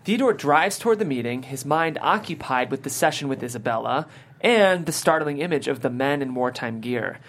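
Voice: mid-range voice, lively intonation, normal speed, a bit bright. A narrator reads stage directions and scene headings aloud at a script table read. The voice sits in a middle register. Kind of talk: reading screenplay stage directions.

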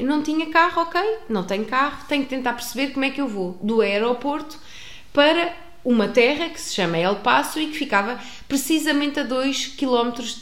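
A woman with a European Portuguese accent telling a personal travel story to an audience, expressive, with many restarts. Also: on the high side. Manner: expressive, acts out dialogue, frequent restarts. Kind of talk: animated storytelling. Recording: roomy echo.

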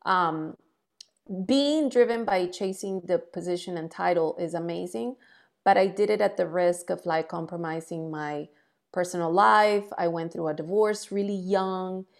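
A woman's voice: on the low side, a little slow, gravelly. A woman in her forties from Colombia, speaking English, talks as an interview guest. She tells her story frankly and with energy, and pokes a little fun at herself along the way. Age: 40s. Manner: lively, frank, self-deprecating. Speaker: guest, entrepreneur.

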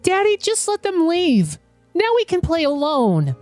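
A sweet little girl acted by an adult narrator, high and childlike, with lively, lilting pitch. She sounds earnest and brave, as in a dramatized online story with faint music underneath. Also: measured pace, no room sound, exaggerated voice. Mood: calm, sweet. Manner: soft-hearted, childlike. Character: sweet, brave young daughter.

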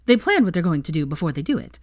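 A woman voicing a brash, excitable young woman who teases and gossips smugly in an audiobook-style story. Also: on the low side, fast. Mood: excited, chatty. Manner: excitable, smug, foul-mouthed.

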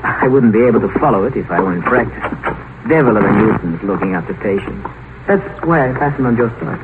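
A man's voice: lowish voice, expressive, a little fast, natural voice. Brash, cynical doctor played by a man, crackly old radio. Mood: cynical, amused. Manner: breezy, sardonic, domineering. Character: brash, cynical male doctor and longevity researcher. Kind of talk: 1950s radio drama acting. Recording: noisy, tinny old 1950s radio broadcast.

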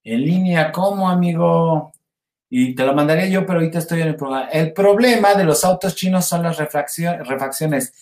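A man's voice: a little high, normal speed, darkish tone. A man with a Mexican accent hosting a casual livestream, chatting with viewers in a rambling, informal way. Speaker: livestream host. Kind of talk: casual live chat with viewers. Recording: roomy, echoey sound.

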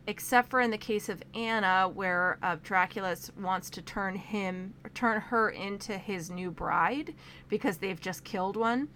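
A woman's voice: mid-range voice, fairly bright tone. Young woman, casual film review host, chatty and organized, faint background noise. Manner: chatty, organized by topic, with frequent "um" and "like".

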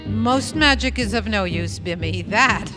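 An actress playing a grave, commanding sorceress with a formal, cryptic manner in comic audio theatre. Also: female voice, mid-range voice, animated, a little slow, lightly acted. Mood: cryptic, knowing. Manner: portentous, formal. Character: grave, commanding female magic-worker, cryptic and unruffled. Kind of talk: comic audio theatre before a live audience. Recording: live stage recording with music behind.

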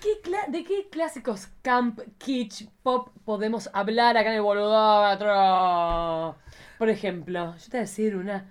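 A woman's voice: medium pitch, unhurried, smooth. Campy Argentine woman chatting. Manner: campy, playful, slangy. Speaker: podcast host. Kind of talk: casual film chat.

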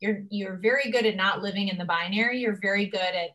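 A young woman at a middling pitch, hosting a relaxed talk. She speaks effusively and emotionally, with lots of fillers between thoughts. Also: quick pace. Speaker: host. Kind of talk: casual conversation, interviewing.

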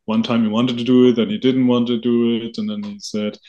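A man co-hosting a podcast, chatting casually and haltingly with many fillers and restarts. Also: mid-range voice, level tone, dark tone, dull audio. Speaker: podcast co-host. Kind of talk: casual chat.

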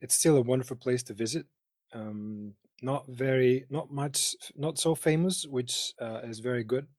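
A man in his thirties speaks with a Greek accent in a medium-pitched voice. He chats as a guest, haltingly feeling for words in a language that is not his first. The recording is dry, with very little room sound. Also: a little mellow, dry recording. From Greece. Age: 30s. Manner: halting, searching for words in non-native English. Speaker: interview guest. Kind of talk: casual personal conversation.

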